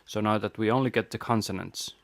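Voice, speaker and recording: Male tutorial presenter, fairly low voice, informal step-by-step explaining, dry close-mic sound. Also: fairly even intonation, quick pace, vocal fry, dry recording. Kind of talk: casual software walkthrough.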